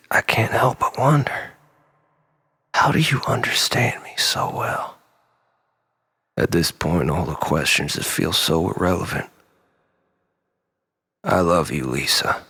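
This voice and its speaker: Guy doing a low raspy troubled man, rambling confession to his ex, nervous and halting. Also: a bit bright, full character voice. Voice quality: gravelly, breathy, often near whisper. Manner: halting, rambling, nervous self-corrections and sighs. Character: troubled, remorseful, obsessive man pleading with lost lover. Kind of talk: dramatic confessional monologue.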